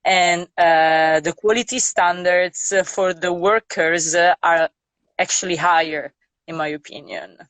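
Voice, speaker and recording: A woman's voice: lowish voice, steady tone, measured pace, fairly bright tone, dry recording. A woman from Italy speaking English, a nurse talking thoughtfully in a casual interview with many fillers. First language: Italian.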